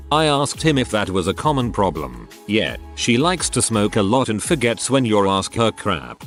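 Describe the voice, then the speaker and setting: A man reading online stories aloud as a scripted narrator, with a lively storytelling delivery. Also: lowish voice. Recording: faint music underneath.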